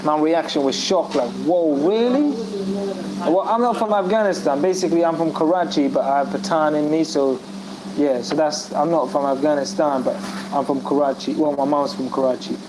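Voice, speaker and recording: A man's voice: fairly high pitch, normal speed, crowd noise, rough recording. A man in a casual group discussion, rambling through a personal story with many restarts and fillers. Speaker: discussion guest. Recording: audible background noise.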